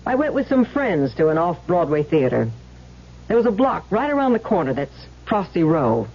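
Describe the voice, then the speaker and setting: Blunt gossiping neighbor, lower-pitched, chatty, scornful, radio drama, muffled vintage sound. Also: female voice, sing-song, normal speed, dark tone, husky, full character voice. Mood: gossipy, scornful. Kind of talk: old-time radio drama acting. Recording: muffled old radio recording.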